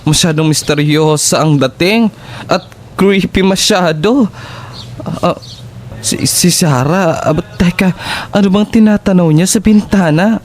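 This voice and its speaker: A woman's voice: fairly low pitch, unhurried, darkish tone, a little hiss or hum, close to an everyday voice. A woman playing a nervous, skeptical young tenant who stammers expressively in a horror audio drama. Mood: suspicious.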